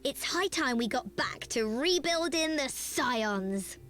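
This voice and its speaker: A woman's voice: sits high, slow pace, full character voice. An actress voicing a perky, bossy young woman eager for money in a video game, exclaiming as she talks. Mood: brisk, eager. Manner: animated, exclaiming. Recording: music under the voice.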